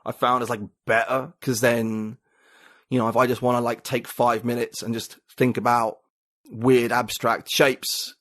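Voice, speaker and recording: A man's voice: medium pitch, level tone, measured pace, a bit bright. A man talking as a podcast guest, thinking aloud in a rambling, reflective way with many fillers and restarts. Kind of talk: casual conversation.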